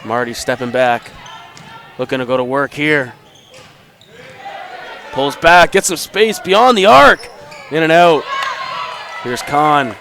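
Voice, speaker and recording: A man under the weather calling a basketball game play by play, rising to shouts on made shots. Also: a little high, slow, gravelly, long pauses, slight background noise. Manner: rises to excited shouts on baskets. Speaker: play-by-play commentator.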